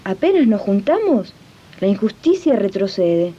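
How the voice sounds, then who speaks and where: A woman's voice: medium pitch, very animated, measured pace, dark tone, breathy edge. A woman playing a domestic worker who narrates a radio drama earnestly and didactically to her fellow workers. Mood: earnest, rallying. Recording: dull old radio recording.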